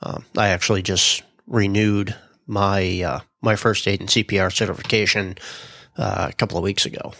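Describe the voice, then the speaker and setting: A man with a low, slightly congested voice talks through his podcast in an easygoing way, pausing on ums and uhs as he reads out notes. The sound is dry and close, with no room around it. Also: vocal fry, no echo. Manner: relaxed, many ums and uhs, reads out minutes. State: stuffed up from a cold. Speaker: podcast host. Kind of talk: casual solo podcast talk.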